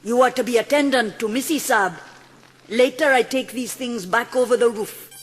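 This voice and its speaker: A man playing a devoted manservant in a dramatised storybook, speaking formal, halting English. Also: very high voice, a bit bright, slightly rough, full character voice. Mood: solemn, kindly. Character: Indian manservant, devoted and formal, bringing good news. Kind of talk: dramatised audiobook acting. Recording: long reverb.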